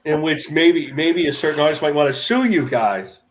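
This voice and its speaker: Sixty yr old guy with a bit of a higher voice, dry wry podcast banter. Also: normal speed, crowd noise. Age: 60s. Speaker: podcast co-host, comic artist.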